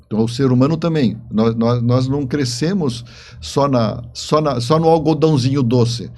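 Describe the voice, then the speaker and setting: A man's voice: mid-range voice, moderate pace, mellow. A man speaking as an interview guest, telling stories conversationally and repeating phrases for emphasis. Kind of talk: conversational storytelling.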